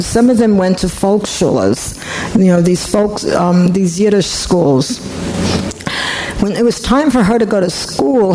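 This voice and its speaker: A woman in her eighties from Poland, thinking back in an oral history interview with many pauses and restarts. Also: fairly low pitch, mellow, slight background noise, rough recording. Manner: reflective, halting, frequent restarts.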